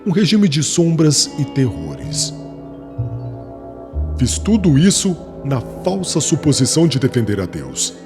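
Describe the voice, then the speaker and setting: An actor with a Brazilian accent playing a fervent young former rabbi who preaches in a solemn, formal, declamatory way for a dramatized audiobook. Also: male voice, fairly high pitch, wildly swooping pitch, a little slow, heavily acted. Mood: remorseful, fervent. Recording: music bed under the voice.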